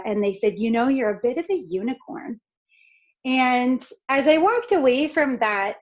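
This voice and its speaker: A middle-aged woman from Canada with a raspy, crackly voice, talking in an outgoing, expressive way as a podcast guest. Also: on the high side, lo-fi sound. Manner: outgoing, expressive, laughs often. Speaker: podcast guest, speaker and author. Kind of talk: upbeat conversational interview.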